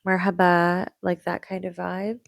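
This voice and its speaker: A young woman co-hosting a casual podcast, telling stories in a chatty way with quick bursts of excitement. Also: fairly low pitch, vocal fry, dry recording, clean studio sound. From Canada. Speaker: podcast co-host. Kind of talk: casual chat.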